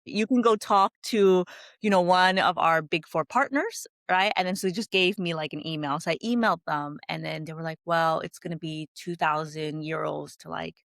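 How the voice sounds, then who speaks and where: A woman from California, a company founder, chatting in a video-call interview and telling her story in a lively, animated way. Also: deep voice, moderate pace, creaky, dry recording, clean studio sound.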